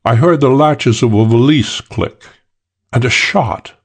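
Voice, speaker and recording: A man voicing a suave, self-assured English gentleman thief telling a wry crime story for an audiobook, with old-fashioned British slang. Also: medium pitch, measured pace, breathy edge. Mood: cool, matter-of-fact.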